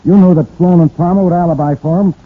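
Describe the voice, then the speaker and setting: Blustery cop, old radio sound. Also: male voice, sits high, steady tone, quick pace. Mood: loud, accusing. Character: blustery, accusing male police officer. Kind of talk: 1940s radio acting. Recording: narrow, noisy 1940s radio recording.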